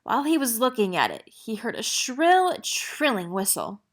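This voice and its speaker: A woman narrating a children's picture book in an expressive storytelling manner. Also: on the high side, moderate pace. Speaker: read-aloud narrator.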